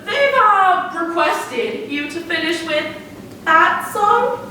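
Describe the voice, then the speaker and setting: Very echoey, distant stage sound carries a woman playing a dutiful, put-upon assistant. The voice is very high and bright, deferential on the surface with dry asides slipped in, in stage comedy style. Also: lively intonation, slow, a little hiss or hum, full character voice. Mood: nervous. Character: long-suffering, obedient personal assistant to a star. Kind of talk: stage farce acting. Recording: very echoey stage recording from far off.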